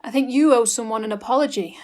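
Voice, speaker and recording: A woman playing a brisk, polite police officer who takes charge in a comic drama. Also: on the high side, darkish tone, slight background noise, natural voice. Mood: businesslike.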